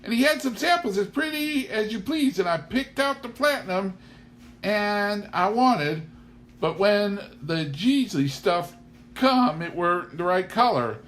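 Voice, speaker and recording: A man's voice: high-pitched, lively intonation, measured pace, a bit bright, voice pushed up. A man voicing a shy, coarse middle-aged farm wife in a storybook reading, with rustic grammar and a rambling, trailing way of talking, on a noisy recording. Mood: flustered, rambling. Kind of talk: storybook character voice in an audiobook reading.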